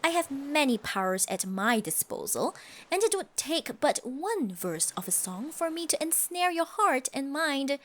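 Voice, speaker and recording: Casting: woman to play proud tsundere sea siren for roleplay audio; high, very animated voice, imperious and huffy. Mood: proud, menacing. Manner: haughty, scoffing, flustered stammers, archaic formal phrasing. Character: haughty tsundere siren, proud, secretly smitten sea creature. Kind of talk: ASMR roleplay monologue.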